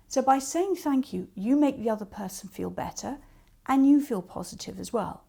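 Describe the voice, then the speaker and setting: Woman coach, higher-pitched voice, presenting practical self-help tips in clear steps with spoken examples. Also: animated, normal speed, warm tone. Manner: explains step by step with acted-out example remarks. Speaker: creative coach giving advice.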